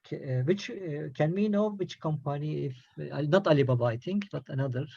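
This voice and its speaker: Halting gravelly man on a call. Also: fairly high pitch, mellow, no room sound. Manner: halting, many fillers and restarts. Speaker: moderator of an online business meeting. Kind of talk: hesitant moderating on a video call. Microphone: video call. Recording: dull lo-fi call audio, dry.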